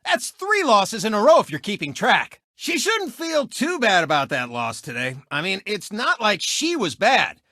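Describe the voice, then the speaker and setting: An actor voicing a cocky, boastful talking race car who hosts a sports show in a video game, with smug, showy, mocking commentary. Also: male voice, sits high, huge pitch swings, normal speed, hardly any pauses, sharp tone, no echo, studio quality, full character voice. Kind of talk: animated sports commentary and taunting.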